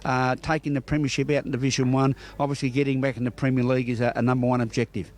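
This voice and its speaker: A man's voice: mid-range voice, little pitch movement, fast. A man with an Australian accent presenting local television news and sport, reading bulletins and putting questions to guests.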